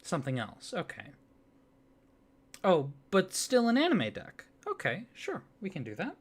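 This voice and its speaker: A man speaking softly and warmly in an ASMR roleplay as a friendly card designer, gently enthusiastic. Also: sits high, wildly swooping pitch, measured pace, breathy edge. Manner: soft-spoken, gently enthusiastic. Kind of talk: soft-spoken ASMR roleplay.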